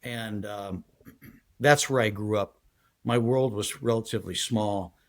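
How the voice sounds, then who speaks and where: A man in his sixties from San Diego, a guest telling his life story in a halting, rambling way full of fillers. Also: on the low side. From San Diego, USA. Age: 60s. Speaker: guest, retired federal agent. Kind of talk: casual interview storytelling.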